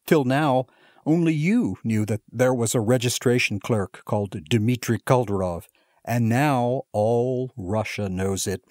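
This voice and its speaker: A man's voice: medium pitch, unhurried, dry recording, clean studio sound, lightly acted. A man reading the part of an overjoyed young male clerk, who gushes in eager, tumbling exclamations. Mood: elated. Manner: excited, gushing, tumbling exclamations. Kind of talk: audiobook character reading.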